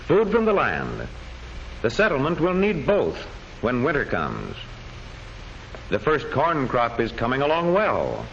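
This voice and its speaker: Highly animated male film narrator. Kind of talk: scripted educational film narration. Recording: dull old film soundtrack with faint noise.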